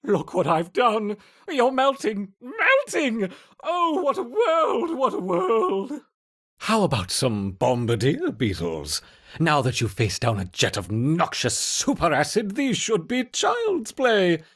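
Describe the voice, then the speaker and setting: Wildly swooping mad scientist. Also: male voice, high-pitched, huge pitch swings, slow, fairly bright tone, slightly rough, full character voice. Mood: manic glee, then sly, menacing. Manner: theatrical, mock-sweet, swinging from murmurs to shrieks. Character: unhinged male scientist, gleefully cruel, running insect experiments. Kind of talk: scripted video game voice acting.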